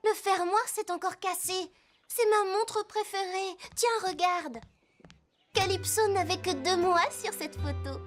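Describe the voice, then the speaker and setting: A chatty teenage girl who loves horses in a cartoon. She speaks very high and animated, eager to share every thought. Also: a little slow, faint music behind. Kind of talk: cartoon dub voice acting.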